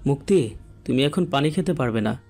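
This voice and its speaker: An actor playing a patient, reassuring young doctor in a Bengali audio story. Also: male voice, mid-range voice, animated, brisk, darkish tone. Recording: music under the voice.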